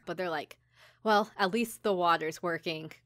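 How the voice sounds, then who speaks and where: A woman co-hosting a podcast, casually retelling a story in an animated way with mock dialogue and giggles. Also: lowish voice, normal speed, dry recording, clean studio sound. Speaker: podcast host and episode recapper. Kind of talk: casual chat, recapping an anime episode.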